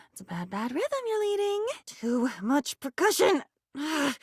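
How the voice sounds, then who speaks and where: A high-pitched, unhinged young-sounding game heroine, lonely and dreamy. Her intonation leaps and dips as she rambles in scattered, theatrical non sequiturs. The voice is recorded close and dry, with a volatile temper underneath. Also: fairly bright tone, no echo. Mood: irritated, brooding. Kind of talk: video game character voice acting.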